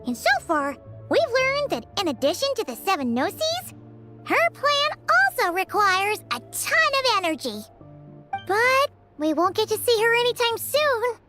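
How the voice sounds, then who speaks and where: A woman's voice: extremely high pitch, big pitch swings, a little slow, loud music behind the voice, heavily acted. A woman voicing a small, chirpy, talkative fairy companion with a childlike manner in a video game. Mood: pondering. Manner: refers to herself by name in third person.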